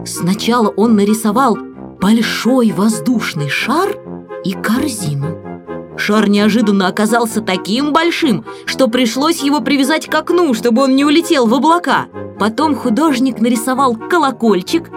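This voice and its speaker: A woman reads a children's story as an audiobook narrator, in an expressive scripted style. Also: on the high side. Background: background music.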